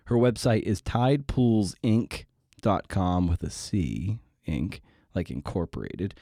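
A man's voice: low-pitched, animated, takes it slowly, warm tone, no room sound, studio quality. A man hosting a podcast in a rambling, casual way, with a wry, self-deprecating, digressive manner. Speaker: podcast host and interviewer.

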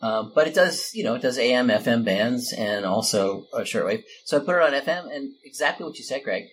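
A man's voice: mid-range voice, lively intonation, brisk, a bit bright, slight background noise. A Canadian man hosting a casual chat, enthusiastic and rambling as he talks. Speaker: podcast host.

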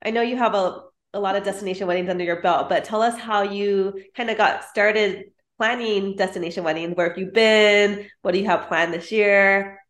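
A woman's voice: mid-range voice, steady tone, normal speed, hardly any pauses, a bit bright, echoey room. A woman hosting a podcast, eagerly asking a string of questions in a casual chat. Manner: eager, fires off questions in bursts. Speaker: podcast host.

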